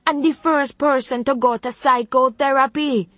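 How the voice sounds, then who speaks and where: A woman's voice: fairly high pitch, normal speed, no echo, soft background music, voice pushed up, close to an everyday voice. A woman voicing a stern, proud old Jamaican grandmother who scolds in thick patois. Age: older. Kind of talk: audiobook narration.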